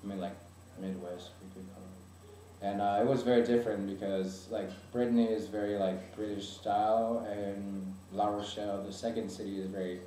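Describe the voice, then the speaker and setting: A young man from France, a native French speaker, talks in English in a low, mellow voice and often stops to restart a sentence. He sits well back from the mic in an echoey room with a soft hiss under him. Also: level tone, moderate pace, recorded from a distance. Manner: hesitant, many fillers and restarts. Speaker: interviewee. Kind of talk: casual interview answers.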